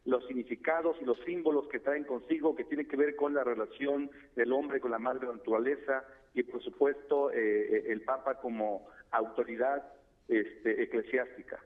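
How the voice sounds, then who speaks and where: A man's voice: medium pitch, steady tone. Tseltal-speaking man on a tinny phone line. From Chiapas, Mexico. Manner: measured, formal, addresses host by name. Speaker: phone-in guest expert. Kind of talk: radio phone interview. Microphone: telephone.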